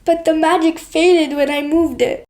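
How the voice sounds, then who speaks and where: A woman as a polite, high-voiced fox farmer. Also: steady tone, unhurried, darkish tone. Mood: guilty, pleading. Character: Archie, male Arctic fox, envious, desperate former farmer. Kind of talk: children's storybook character voice.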